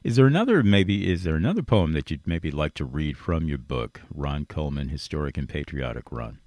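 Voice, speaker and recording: A man's voice: rumbling low, sing-song, a little fast, a little mellow, dry recording. A man hosting a radio interview show, speaking conversationally and earnestly, with emphatic repetition. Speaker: radio talk show host. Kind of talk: conversational interview, rambling positive monologue.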